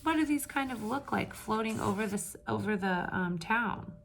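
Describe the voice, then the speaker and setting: A woman reading a picture book aloud to children with lively expression, pausing to ask her listeners questions in hushed asides. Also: medium pitch, vocal fry, a little hiss or hum. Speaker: read-aloud storyteller for children.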